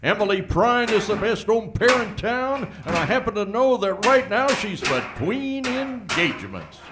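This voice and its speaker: A bumbling, folksy small-town sheriff played by a man, high in pitch and swooping wildly through his phrases. He is chatty and easily sidetracked in comic audio drama fashion. The recording has clear background noise. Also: sing-song, unhurried. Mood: folksy, rambling. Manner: folksy, rambling, easily distracted. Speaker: voice actor in a comedy audio drama. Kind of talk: comedic audio drama acting.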